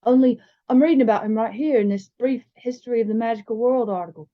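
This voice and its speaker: A woman's voice: a little high, quick pace, pitched above the performer's own voice, close to an everyday voice. A woman voicing a big, studious young boy in a storybook, serious and eager to learn. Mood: earnest, curious. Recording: slightly dull, lo-fi sound.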